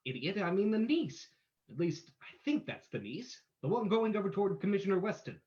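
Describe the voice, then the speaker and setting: A man's voice: high-pitched, very animated, fairly quick, a little mellow. A man playing a breezy, wisecracking amateur sleuth in an old-time radio reading, with dull lo-fi audio. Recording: dull lo-fi live stream audio.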